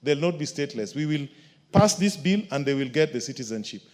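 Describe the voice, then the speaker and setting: A man, a member of parliament, speaking in a measured, formal way at a desk microphone in a parliament chamber. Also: fairly high pitch, animated, darkish tone. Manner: measured, formal, solution-focused. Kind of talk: parliamentary floor speech. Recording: long reverb of a large chamber.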